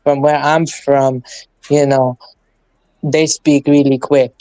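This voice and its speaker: A young man with an East London accent and Urdu as his first language, chatting quickly and casually as a guest on a call-in stream. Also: medium pitch, level tone, dark tone, dry recording, rough recording. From England. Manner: fast-talking, tags sentences with "though". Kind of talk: casual chat.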